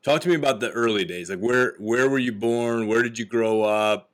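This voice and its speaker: A man's voice: mid-range voice, moderate pace. A man hosting an interview podcast, asking questions in an upbeat, encouraging way. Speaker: podcast host.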